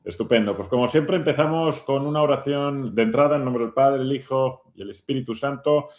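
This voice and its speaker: A male radio host talks in a mid-pitched voice with a noticeable room echo. He interviews conversationally, pausing often and checking in with short tag questions. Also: darkish tone. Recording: roomy, echoing sound.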